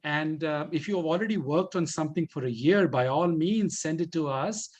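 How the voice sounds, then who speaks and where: A man with a slightly high-pitched voice speaks as the presenter at an informal question-and-answer session. He phrases things deliberately and often repeats words to drive them home. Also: a little mellow. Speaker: presenter for a community language-learning project.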